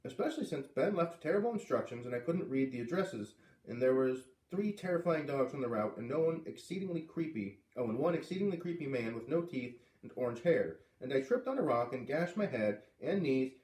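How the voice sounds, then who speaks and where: A man reading a children's book aloud, expressively, with dramatic pauses on key words. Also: mid-range voice, lively intonation, darkish tone. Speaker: read-aloud reader. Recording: echoey room, distant microphone.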